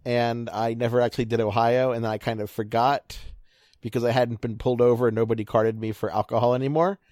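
A man in his thirties with a nasal voice talks as a podcast guest. He rambles and pokes fun at himself. His pitch is middle, and he sounds close and dry with no room sound. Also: little pitch movement, quick pace, a little mellow, dry recording. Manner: self-deprecating, rambling storyteller. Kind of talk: casual chat.

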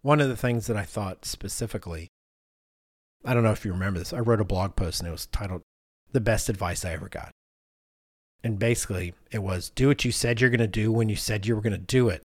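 A man's voice: fairly low pitch, quick pace, no echo, studio quality. A man in his fifties hosting a conversational discussion, talking as a podcast host. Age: 50s. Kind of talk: conversational discussion, reading out responses.

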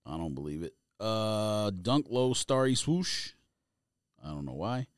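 A man's voice: lowish voice, big pitch swings, a little slow, clear, resonant tone, no echo, studio quality. A man co-hosting a casual podcast, bantering and reading out items with offhand, jokey comments. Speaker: sneaker podcast co-host.

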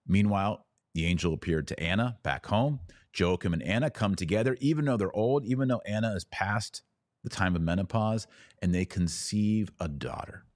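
Deep-voiced man teaching on a podcast. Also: normal speed, a little mellow, no room sound, studio quality. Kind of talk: casual teaching podcast talk.

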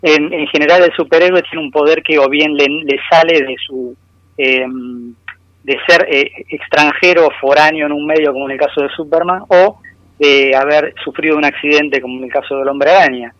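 A man explaining things conversationally as a podcast guest, often pausing to find his words. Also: fairly high pitch, fairly even intonation, a bit bright, dry recording. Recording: dull, dry, radio-like sound.

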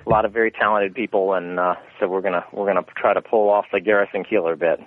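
A man, giving a casual interview in a halting, self-effacing way over a remote call. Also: sits low, fairly even intonation. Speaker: interview guest, radio theatre producer. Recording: very narrow, tinny phone-like line.

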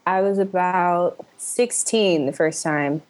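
A woman's voice: on the low side, slow, darkish tone. A young woman from Houston, Texas, talking conversationally as an interview guest on a lo-fi connection. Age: young adult. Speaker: interview guest, actor and director.

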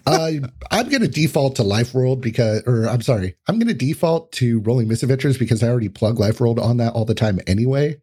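Male podcast guest, medium pitch, dark soft tone with some rasp, relaxed conversational explaining. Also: fast talker, few pauses. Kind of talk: casual interview chat.

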